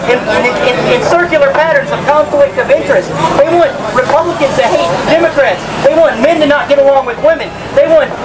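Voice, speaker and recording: A street activist speaks in a high, raised voice with insistent, repeated phrasing. The recording is distant and outdoors, with a busy crowd around the voice. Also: a little fast. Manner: emphatic, repetitive. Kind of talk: street protest speech. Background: crowd and street sounds.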